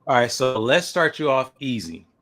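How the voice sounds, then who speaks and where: A man's voice: mid-range voice, lively intonation, moderate pace, a bit bright. A forty-year-old man hosting a casual podcast interview in a laid-back way, often repeating short affirmations.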